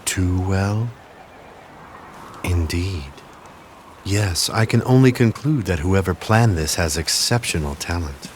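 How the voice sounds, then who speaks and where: A man playing a shrewd, haughty military strategist of ancient China in a video game cutscene, speaking in a measured, condescending, theatrical way. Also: low-pitched, lively intonation, a little hiss or hum. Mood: coolly calculating. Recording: loud music under the voice. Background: game music.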